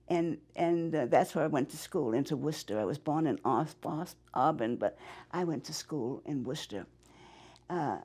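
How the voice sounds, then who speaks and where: Very old New England woman, gravelly. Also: sits low, dark tone. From Massachusetts. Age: 90s. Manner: rambling, halting, with frequent restarts. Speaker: interviewee, war veteran. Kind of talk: oral history interview.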